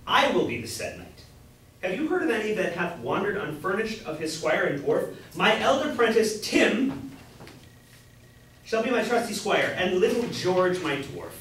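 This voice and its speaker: A young man acting a grocer's apprentice who plays a grandiose, courtly knight-errant in a staged reading of a Jacobean comedy, declaiming in high-flown style. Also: sing-song, moderate pace, fairly bright tone. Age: young adult. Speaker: student actor. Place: indoor room, far from the mic. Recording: distant, very echoey room with faint noise.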